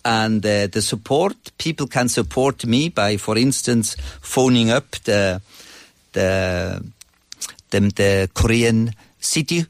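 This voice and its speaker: A man in his sixties with a Swiss accent, speaking conversationally as an interview guest in halting, non-native English. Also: fairly low pitch, no echo. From Switzerland. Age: 60s.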